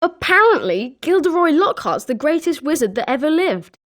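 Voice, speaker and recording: An excitable British schoolboy wizard, voiced by a woman, speaks in a high young voice. The pitch swings freely through eager, scripted video game lines. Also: moderate pace. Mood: chatty. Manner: animated, expressive.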